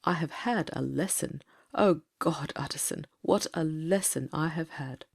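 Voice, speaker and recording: A troubled gentleman doctor of middle age, played by a woman in a Victorian dramatic reading. The voice is on the low side for a woman, earnest and formal, with an anguished fervour. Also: unhurried, creaky, breathy edge, exaggerated voice. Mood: solemn, anguished. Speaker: audiobook cast reader.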